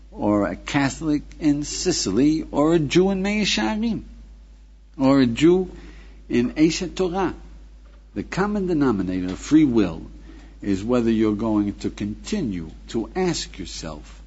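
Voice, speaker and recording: Husky dark-voiced guy preaching at you, pushy rhetorical questions, dull lo-fi tape. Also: on the low side. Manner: emphatic, exhorting, rhetorical questions, addresses listener as friend. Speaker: rabbi teaching. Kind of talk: recorded lecture.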